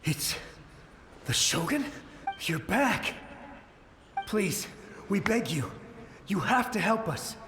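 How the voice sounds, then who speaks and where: A man's voice: high-pitched, big pitch swings, slow, pauses often, noisy background, full character voice. A man voicing a desperate samurai soldier's memory, pleading urgently in a video game. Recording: echoey game scene with music.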